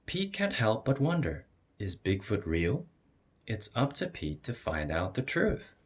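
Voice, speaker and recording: Deep, animated man reading a storybook aloud. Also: measured pace, slight background noise. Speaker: storyteller reading a children's picture book aloud. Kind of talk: expressive read-aloud narration.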